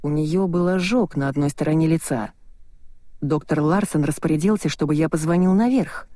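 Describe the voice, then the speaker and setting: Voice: medium pitch, normal speed, mellow, no echo, clean studio sound, lightly acted. A reader voicing a young English male doctor in an audiobook, grumbling and sarcastic. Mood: recounting. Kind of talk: audiobook dialogue reading.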